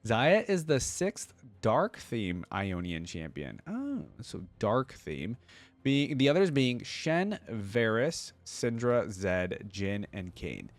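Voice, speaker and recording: Male reaction-video host, lowish voice, informal off-the-cuff commentary, dry close recording. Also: sing-song, slow pace, no room sound. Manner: informal, thinking aloud.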